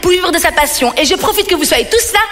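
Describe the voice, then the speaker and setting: An earnest brother voiced by a woman in a stand-up act makes fussy declarations in a high, bright voice. He is eager to speak up, with a long hall echo around him. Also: lively intonation, fast talker. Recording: hall reverb with music behind.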